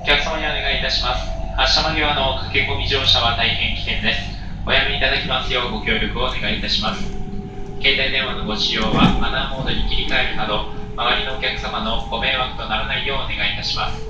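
A man's voice: mid-range voice, fairly even intonation, fast, muffled recording, over loud music. A man working as a train conductor gives a formal, polite, routine onboard announcement. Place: inside a moving train carriage. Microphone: onboard PA picked up by distant handheld camera. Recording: echoey, noisy train PA, far from mic. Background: loud train running noise.

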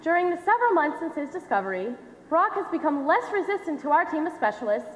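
A young woman playing an earnest scientist in a stage play, giving a formal presentation with projected, deliberate delivery. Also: sits high, sing-song, a little hiss or hum. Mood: formal, proud. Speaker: stage actor in a high school one-act play. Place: on stage in a large dark auditorium. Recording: echoey theater hall, long reverb.

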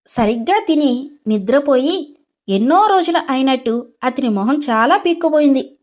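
A woman's voice: high voice, animated, normal speed, a little mellow. A woman storyteller reading a scripted story narration.

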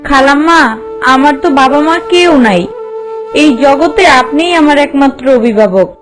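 A woman's voice: high voice, animated, moderate pace, over music. A woman voicing a humble orphan housemaid girl in a Bengali cartoon, meek and earnest, with a rural Bangladeshi accent. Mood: apologetic, pleading.

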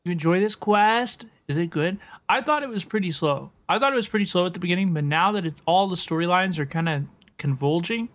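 A woman's voice: fairly low pitch, lively intonation, a little fast, hardly any pauses. A woman live-streaming a video game, chatting casually and repeating words for emphasis.

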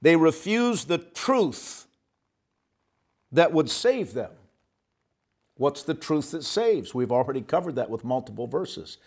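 A man's voice: a little high, big pitch swings, a little mellow. A man in his fifties preaching a Bible teaching to camera, emphatic, stretching out key phrases for stress. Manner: emphatic, gestures broadly, drawn-out stressed phrases. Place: indoor studio set with guitar on wall. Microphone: lapel mic, seated at desk facing camera.

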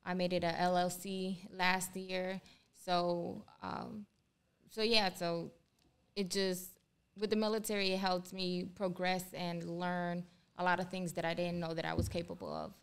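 A young woman from Ohio, raised speaking Spanish, talks about her work as an army culinary instructor in a podcast studio, pausing often with "um". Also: fairly low pitch, little pitch movement, clear, resonant tone. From Ohio, USA. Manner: hesitant, many "um"s. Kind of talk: casual interview. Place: small studio room. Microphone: desk mic on boom, headphones.

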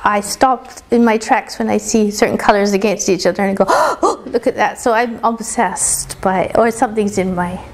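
A woman's voice: mid-range voice, lively intonation, normal speed, hardly any pauses. A middle-aged woman with a British-tinged accent giving an informal, hesitant artist talk, often trailing off mid-thought. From British Columbia, Canada. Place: small hall with wooden furniture. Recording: live talk with faint background noise.

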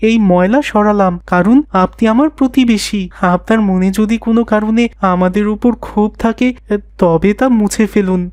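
A woman's voice: medium pitch, moderate pace, a little mellow, dry recording, clean studio sound, close to an everyday voice. A woman voicing a polite, earnest young boy who seeks peace in a moral cartoon. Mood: gentle, sincere.

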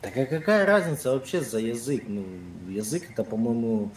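A young man speaks through a webcam in a reverberant room. His voice sits at an ordinary middle pitch, and he pushes blunt, challenging questions. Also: sing-song, normal speed, a little hiss or hum. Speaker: chat partner. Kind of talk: casual video chat. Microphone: webcam on video call. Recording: echoey room.

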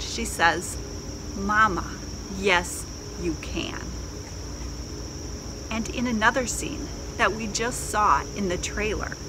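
A middle-aged woman giving a sermon as a pastor, telling stories in clear, deliberate phrases outdoors. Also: mid-range voice. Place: outdoors in a leafy garden. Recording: outdoor noise with insects and distant traffic.

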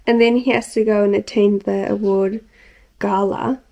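A woman's voice: mid-range voice, little pitch movement, moderate pace, vocal fry, mellow, dry recording, muffled recording. A woman, chatting casually as she vlogs. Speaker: vlogger. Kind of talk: casual chatty vlog talk. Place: living room, car park and outdoor cafe. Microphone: camera at arm's length. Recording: handheld camera with background noise.

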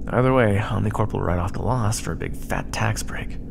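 Man acting a sardonic, gravelly stranded starship officer. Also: on the low side, fairly quick. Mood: weary, dry. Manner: wry, exasperated, muttering asides. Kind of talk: audio roleplay, dictated log monologue. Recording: clear background noise under the voice.

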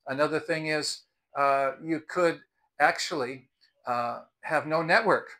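Man in his sixties, American accent, crisp bright tone, earnest explainer, video host, lapel mic outdoors. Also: a little high, unhurried. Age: 60s. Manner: earnest, advisory. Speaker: video host and product reviewer. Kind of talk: explanatory vlog talk to camera. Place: outdoors on a house's front porch. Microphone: clip-on lapel mic.

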